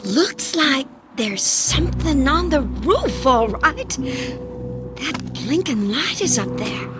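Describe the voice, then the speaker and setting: A bold, headstrong old woman in a suspenseful radio play. Her voice is high and she speaks slowly, with folksy impatience. Music runs clearly beneath her. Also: sing-song, full character voice. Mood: stubborn, impatient. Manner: impatient, stubborn, plain folksy talk. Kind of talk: radio drama acting.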